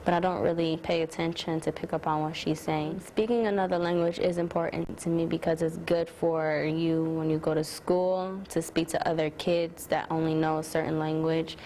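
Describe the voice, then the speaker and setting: A teenage girl talks in a low, even voice for a documentary interview. She is soft-spoken and hesitant, with many fillers, over faint background hiss. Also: moderate pace, few pauses, rough recording. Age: teens. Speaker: student interviewee.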